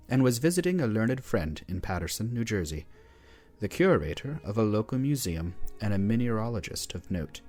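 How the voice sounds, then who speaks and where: A man narrates a horror tale in a slow, grave and measured way. His voice sits low, with a faint musical bed behind him. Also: darkish tone. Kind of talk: scripted horror story reading.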